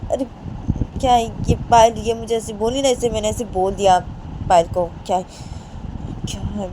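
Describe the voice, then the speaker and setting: An irritated father snaps out sharp, repeated questions, played by a woman. His voice stays at an ordinary middle pitch. Steady background noise runs under the recording. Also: moderate pace, natural voice. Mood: defensive. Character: angry father scolding his wife. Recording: noisy recording.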